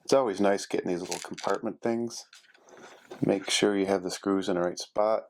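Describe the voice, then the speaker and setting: A man's voice: low voice, steady tone, normal speed, gravelly, slight background noise. A man casually narrating a hands-on repair, thinking aloud as he works, like a DIY video host. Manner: thinking aloud while working. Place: indoors at a carpeted workspace desk.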